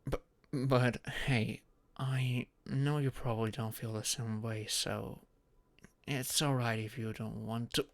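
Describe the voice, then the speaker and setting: A man's voice: mid-range voice, fairly even intonation, slow, dry recording. A man playing a shy, flustered young social outcast in a romantic audio roleplay, speaking hesitantly with stammers and sighs. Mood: tender, vulnerable.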